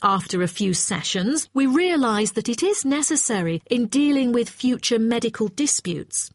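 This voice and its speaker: A woman's voice: a little high, animated, normal speed, no echo. A young woman playing a conscientious, assertive nursing student in a scripted exam dialogue.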